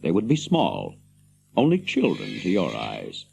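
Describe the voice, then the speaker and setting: An actor playing a proud, commanding ranger and heir to a throne in a fantasy radio drama, with grave, declamatory delivery. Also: male voice, low voice, huge pitch swings, a little slow, gravelly, darkish tone, a little hiss or hum, exaggerated voice. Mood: grave, urgent.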